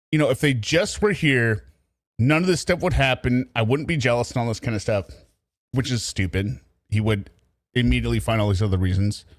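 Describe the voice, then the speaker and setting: Brief: male host, mid pitch, dry close sound, loose and animated commentary. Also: no echo. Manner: casual, animated, laughs and riffs. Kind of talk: casual true crime commentary.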